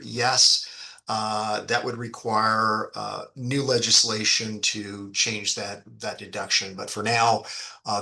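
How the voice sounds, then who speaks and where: A man giving an explanatory business presentation as a fund executive, speaking haltingly with frequent ums and repeated words. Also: fairly low pitch, gravelly, pushed and bright. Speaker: fund executive presenting on an investor earnings call.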